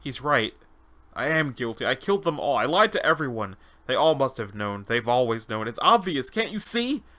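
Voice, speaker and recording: A man's voice: mid-range voice, very animated, moderate pace, pushed and bright. A man voicing an agitated male convict test subject unraveling into madness, bewildered and stammering, in a dramatized interview log. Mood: dazed, guilt-stricken.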